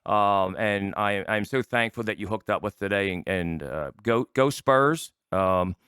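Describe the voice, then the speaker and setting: A man's voice: lowish voice, measured pace, a bit bright, clear, resonant tone, no room sound, clean studio sound. A middle-aged man casually hosting an interview, effusive and complimentary toward the people he talks with. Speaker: talk show host.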